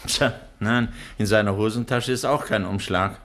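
An actor playing a smug, menacing doctor and criminal mastermind in a theatrical, commanding 1960s German radio play style. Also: male voice, lowish voice, normal speed, a bit bright. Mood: brisk, irritated. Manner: theatrical, self-satisfied, commanding. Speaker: villain in a radio crime play.

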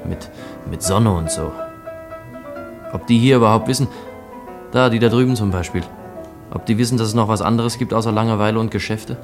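A man playing a wistful, shy young suitor in a German radio play, speaking in a halting, pensive way. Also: on the low side, soft background music, close to an everyday voice. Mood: musing. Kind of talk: 1950s-set German radio play acting.

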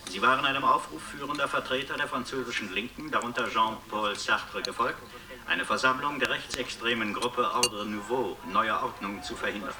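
A man's voice: mid-range voice, moderate pace, a crowd in the background, lightly acted. A man playing a television news correspondent, reading a formal, factual scripted report. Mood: sober, factual. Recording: noisy, distant television sound.

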